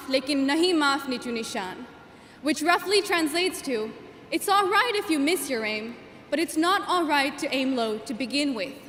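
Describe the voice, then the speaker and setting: A young woman in her twenties whose first language is Gujarati, giving an earnest, measured commencement speech as class valedictorian at a podium microphone. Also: sits high, a bit bright, a little hiss or hum. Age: 20s. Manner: earnest, measured, with emphatic phrasing. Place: large commencement hall. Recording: echoey hall with long reverb.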